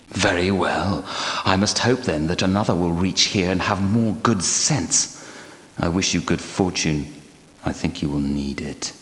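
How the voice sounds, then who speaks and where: An actor playing a grave, courteous guardian knight and temple architect in an adventure game, speaking in a formal, archaic, measured way. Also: male voice, fairly low pitch, natural voice. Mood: earnest, resigned. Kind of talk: scripted adventure game character dialogue. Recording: echoey hall with long reverb.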